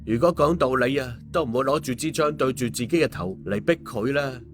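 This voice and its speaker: A man's voice: medium pitch, a little fast, soft background music. A man with a Hong Kong Cantonese accent narrating stories and commenting on them in a colloquial, opinionated way as host. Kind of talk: story narration and casual commentary.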